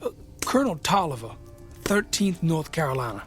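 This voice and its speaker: Weary, halting soldier. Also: male voice, on the high side, big pitch swings, fairly bright tone, soft background music. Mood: grave. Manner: halting, deferential. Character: weary, polite male Confederate army corporal. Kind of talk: radio drama acting.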